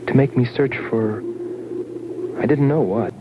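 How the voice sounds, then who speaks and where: A man playing a pensive astronaut explorer, narrating in a reflective, measured way as a 1960s science-fiction film voice-over. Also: medium pitch, natural voice. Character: pensive male astronaut explorer recalling an alien sea. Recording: muffled old film soundtrack, loud noise.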